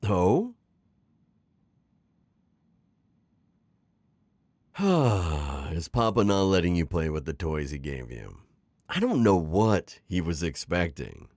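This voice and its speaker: A man performs a middle-aged retired magical villain in an intimate ASMR roleplay. His low voice swings dramatically up and down as he coaxes and teases. The delivery is theatrical and sly, recorded very dry. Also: a little mellow, no room sound, heavily acted. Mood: coaxing, disapproving. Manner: theatrical, teasing, manipulative coaxing with sighs and chuckles. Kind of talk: scripted ASMR roleplay acting.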